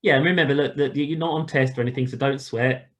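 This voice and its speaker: A man's voice: medium pitch, fairly even intonation, quick pace. A man leading an informal online lesson as an instructor, speaking in a reassuring, casual manner. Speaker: flight instructor leading a ground school. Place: online video call.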